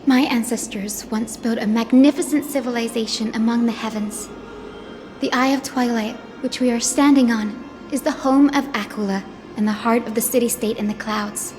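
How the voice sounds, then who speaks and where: A woman as an earnest, high-voiced young sky-folk heroine. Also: steady tone, moderate pace, a little mellow, close to an everyday voice. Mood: solemn, resolute. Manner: solemn, poetic storytelling. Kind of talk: video game cutscene acting. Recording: background music under the voice.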